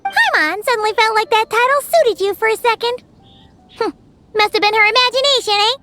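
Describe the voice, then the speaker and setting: Tiny, extremely squeaky fairy. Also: female voice, animated, brisk, pushed and bright, soft background music, heavily acted. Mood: teasing. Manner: speaks in third person, cutesy. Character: tiny, squeaky, childlike female fairy companion, pert. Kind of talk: video game voice acting.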